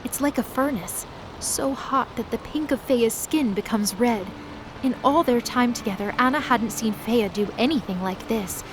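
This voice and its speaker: A woman giving expressive, scripted dramatic narration as the storyteller of a fantasy audio drama. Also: fairly high pitch, moderate pace, background noise.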